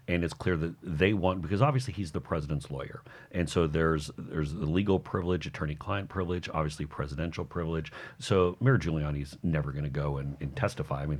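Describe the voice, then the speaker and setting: A man with a low voice speaks as a guest commentator. He delivers his political talking points confidently, with a brisk and practiced rhythm. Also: slightly rough.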